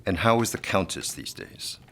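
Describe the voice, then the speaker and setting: An actor playing a smooth, flattering gentleman guest, suave, courteous and florid, in an audio drama. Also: sits low, normal speed, exaggerated voice. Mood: curious.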